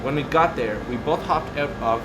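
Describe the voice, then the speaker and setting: A man narrating a story as a theatrical, deliberate dramatic reading. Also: mid-range voice, rough recording. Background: loud music bed.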